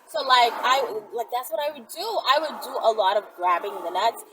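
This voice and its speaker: A woman talking casually and bluntly to viewers in an animated, slangy, unscripted way, as the host of her own channel. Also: a little high, normal speed, background noise.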